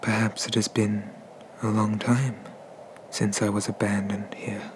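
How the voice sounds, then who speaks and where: Ancient sea dragon god, male actor, ASMR roleplay: breathy whisper, very even and unhurried, pompous and menacing. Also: lowish voice, noisy background, natural voice. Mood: brooding, imperious. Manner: slow, pompous, condescending, with drawn-out pauses.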